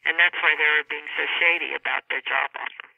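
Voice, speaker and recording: Woman, interviewed author, conversational and explanatory, halting mid-sentence, thin telephone sound, dry. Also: sits low, fairly even intonation, creaky, no room sound. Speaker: author being interviewed about a novel. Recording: tinny narrowband phone line.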